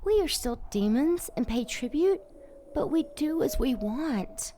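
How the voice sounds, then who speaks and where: Sultry, slow-drawling demon seductress. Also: female voice, high-pitched, animated, mellow, a little hiss or hum. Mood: eager, flippant. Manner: sardonic, teasing, breathy purring on key words. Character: sultry, rebellious female demon seductress, scheming and lustful. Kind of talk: audio drama acting.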